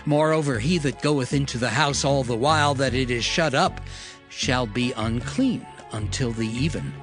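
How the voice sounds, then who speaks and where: A man narrating scripture in a measured, steady cadence. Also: medium pitch. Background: music.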